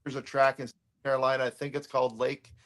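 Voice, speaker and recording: A man's voice: mid-range voice, little pitch movement, fast talker, fairly bright tone. A middle-aged man chatting casually as a racing interview guest, opinionated and ready to poke fun at himself. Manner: opinionated, self-deprecating. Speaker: guest, race car crew member.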